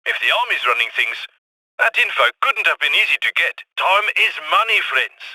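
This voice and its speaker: An actor voicing a brisk, wry heist coordinator in a video game, giving urgent instructions over a radio. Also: male voice, fairly high pitch, sing-song, bright, forceful tone, husky, dry recording, exaggerated voice, low-quality recording. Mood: wry, focused.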